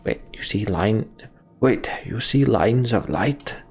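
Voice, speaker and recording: Guy playing a calm curious fantasy game traveler, measured, creaky lowish voice. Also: soft background music, exaggerated voice.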